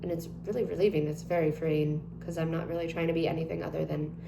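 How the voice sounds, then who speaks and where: Twenties woman, slightly low voice, relaxed rambling vlog talk; roomy echo and background hum. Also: fast, gravelly. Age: 20s. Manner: chatty, rambling with many ums and likes. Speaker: vlogger. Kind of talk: casual chat to camera. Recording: echoey room with noticeable hum.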